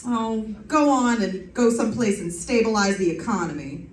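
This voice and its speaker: A woman's voice: a little high, animated, moderate pace, noisy background, lightly acted. A woman playing a wisecracking, breezy female private detective, wry and playful, in 1940s radio drama style. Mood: breezy banter. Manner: wry, playful, theatrical delivery. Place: live stage performance in a room. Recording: roomy stage, distant mic, music behind.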